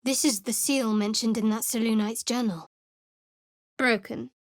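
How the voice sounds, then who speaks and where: Guarded young female cleric, cool and sardonic, slightly high voice, dead-dry booth. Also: steady tone, a little slow, no echo. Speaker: game character voice actor. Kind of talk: video game voice lines. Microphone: close studio microphone.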